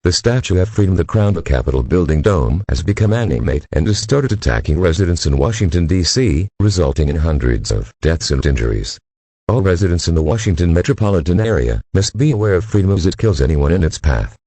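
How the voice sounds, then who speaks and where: A man with an extremely low, husky voice reads scripted emergency alerts. His formal, synthetic-sounding delivery moves noticeably up and down in pitch. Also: animated, a little fast, dark tone, dry recording.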